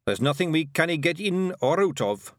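A man voicing a scrappy, boastful tiny male pixie chieftain with a gruff Scottish brogue and cheeky manner. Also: on the high side, sing-song, normal speed, a bit bright, no room sound, clean studio sound, pitched above the performer's own voice.

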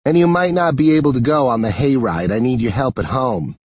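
A man voicing a petite, brisk mother who speaks French and is easily disappointed, in an audiobook. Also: mid-range voice, darkish tone, no room sound, dull audio, soft background music, natural voice.

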